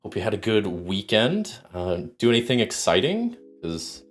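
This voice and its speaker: Deep-voiced man with a sore throat. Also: huge pitch swings, moderate pace. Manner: rambling, many ums and restarts, greets chat viewers. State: sick with sore throat, starting to lose his voice. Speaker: solo RPG streamer. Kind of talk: casual livestream chat explaining game rules.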